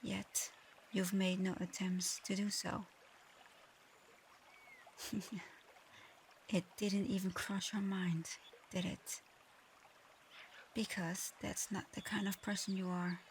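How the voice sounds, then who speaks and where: A woman voicing a proud but conflicted dragon girl in human form for an ASMR audio roleplay, soft-spoken, hesitant and earnest. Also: mid-range voice, flat delivery, slow pace, long pauses, a little hiss or hum. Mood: gentle, knowing.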